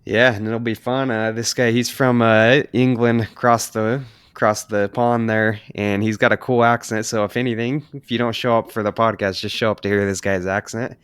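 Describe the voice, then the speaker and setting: Smooth, dry-voiced podcast host man. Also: on the low side, flat delivery, moderate pace, hardly any pauses, a bit bright, no room sound. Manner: rambling, filler-heavy questions. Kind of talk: casual podcast interview.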